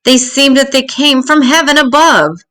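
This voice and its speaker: An older woman reading a rhyming children's story aloud in an expressive, dramatic, deliberate way. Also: high-pitched.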